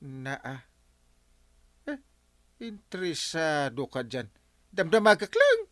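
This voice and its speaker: A man with an Ilocano accent playing a touchy, blustering barangay captain in a radio comedy drama, exclamatory and expressive. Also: on the high side, slow, no room sound, exaggerated voice. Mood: teasing, nosy.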